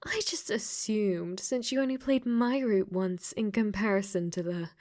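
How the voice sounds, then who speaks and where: Woman doing a clingy yandere dating sim ai girl, slow soft asmr talk, teasing n coaxing, slips into whispers, possessive. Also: medium pitch, close to an everyday voice. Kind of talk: soft ASMR audio roleplay.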